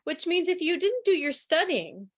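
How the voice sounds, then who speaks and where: A woman's voice: very high-pitched, big pitch swings, moderate pace. A woman hosting a club quiz on a video call, with a playful, teacherly manner. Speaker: quiz host. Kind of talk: club quiz hosting, reading questions aloud.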